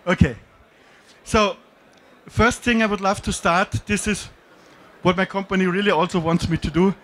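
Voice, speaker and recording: A man's voice: sits high, fairly bright tone. A middle-aged man from Austria with an Austrian German accent, giving a joking, self-deprecating talk on a conference stage with a handheld mic. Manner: self-deprecating, jokey, with slightly non-native phrasing. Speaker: conference speaker. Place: dim conference stage with audience.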